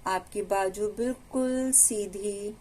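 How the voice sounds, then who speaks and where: A woman with an Indian accent leads a guided meditation as a teacher, giving calm instructions with long pauses between phrases. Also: medium pitch.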